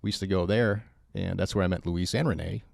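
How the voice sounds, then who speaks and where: A man's voice: low-pitched, steady tone, a little fast, creaky, darkish tone, dry recording, clean studio sound. A man who teaches personal training, chatting casually on a podcast in a chatty, self-deprecating way with many restarts.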